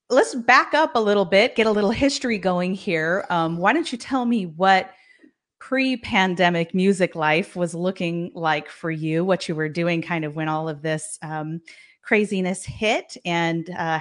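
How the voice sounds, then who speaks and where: A woman hosting an interview, reading a prepared introduction and then asking encouraging questions. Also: lowish voice. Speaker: podcast host.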